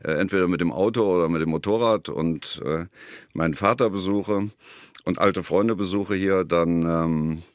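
A man's voice: low voice, normal speed, no echo, clean studio sound. A man in his sixties from Saarland with a Saarland German accent, talking in a radio interview with many fillers and small chuckles. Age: 60s. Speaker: author, interview guest.

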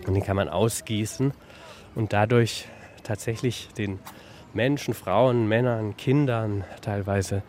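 A man's voice: fairly low pitch, fairly even intonation, takes it slowly, a little mellow. A man speaking conversationally in an on-site interview as the director of an archaeological park. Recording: faint background noise on location. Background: faint ambient noise.

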